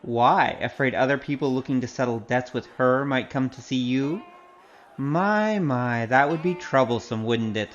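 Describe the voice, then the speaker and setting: A man plays a cocky wandering martial artist and gambler in an audio adventure. He has a medium-pitched voice with a sardonic, teasing edge. The recording is a little dull, with faint noise behind. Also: normal speed, natural voice. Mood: wry, needling. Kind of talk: audio drama acting with spoken self-narration. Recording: dull, slightly noisy audio.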